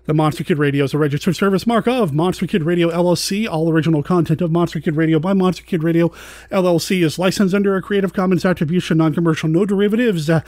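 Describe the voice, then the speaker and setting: A man's voice: on the high side, fast, a little mellow, no room sound. A man hosting a podcast, talking in a casual, chatty and conversational way. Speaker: podcast host.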